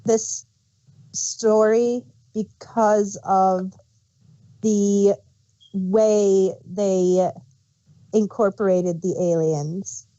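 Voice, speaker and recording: A woman co-hosting a casual review podcast, speaking in a halting way and often restarting her sentences. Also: medium pitch, steady tone, dark tone, dry recording. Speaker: podcast co-host reviewing audio dramas. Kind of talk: casual unscripted chat. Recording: dull, dry podcast microphone.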